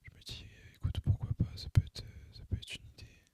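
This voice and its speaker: Intensely whispering young Frenchman. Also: brisk. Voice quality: whispered, unvoiced. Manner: relaxed, slangy, hesitant. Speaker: ASMR gaming creator. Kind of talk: ASMR, casual rambling chat over gameplay.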